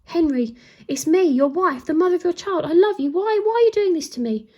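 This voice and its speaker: A woman voicing a distraught queen who pleads in a high, loud voice, read aloud from a storybook. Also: expressive, brisk, dull audio. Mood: desperate.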